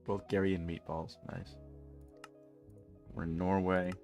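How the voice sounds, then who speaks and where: A man's voice: low voice, level tone, measured pace, creaky, darkish tone, faint music behind. A man with a stuffy nose chatting casually and rambling jokily with his chat while streaming a game. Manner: rambling, jokey, talks to chat.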